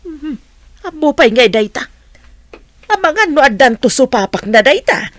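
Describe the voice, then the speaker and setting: A woman acts out a blunt, nosy village mother with an Ilocano accent. Her fairly high voice rises and falls freely as she probes, in radio comedy drama style. The sound is dry and close. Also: sing-song, moderate pace, dry recording. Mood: curious, explaining.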